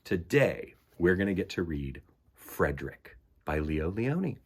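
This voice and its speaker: A man presents a storytime for children in a husky voice. His pitch moves around a great deal as he talks and reads aloud. The delivery is enthusiastic and theatrical, with plenty of emphasis. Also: big pitch swings, unhurried, a little mellow. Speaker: host of a children's storytime show. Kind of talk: animated read-aloud and chat for children.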